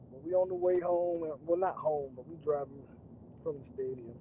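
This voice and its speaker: A hoarse man whose voice is nearly gone, hosting a casual livestream reaction from a moving car and often clearing his throat and coughing. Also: on the high side. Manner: keeps clearing throat and coughing, loose rambling talk. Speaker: channel host. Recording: tinny phone-line sound with faint car noise. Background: faint car and road noise.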